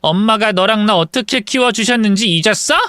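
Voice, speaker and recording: A man voicing a grown daughter who scolds her brother in a quick, indignant way. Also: high voice, bright, forceful tone, clean tone, no echo, studio quality, pitched above the performer's own voice.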